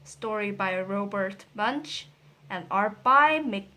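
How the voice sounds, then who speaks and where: A woman's voice: mid-range voice, expressive, slow pace, sharp tone. A woman narrating a children's picture book as a scripted read-aloud. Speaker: storybook reader.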